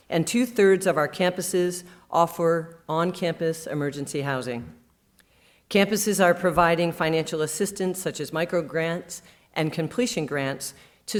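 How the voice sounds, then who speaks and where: A woman hosting a university staff event, reading prepared opening remarks and introducing the first speaker. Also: fairly low pitch. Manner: formal, read from a script. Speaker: conference host.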